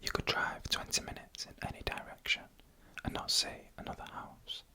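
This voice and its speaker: A narrator telling eerie stories in a breathy, unvoiced whisper, in deliberate short phrases for ASMR. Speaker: ASMR storyteller.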